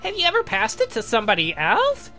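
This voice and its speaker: Guy putting on a confused naive young dude for a visual novel, high bright voice jumping all over, hesitant. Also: wildly swooping pitch, brisk, soft background music, full character voice. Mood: curious. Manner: hesitant, blurting questions.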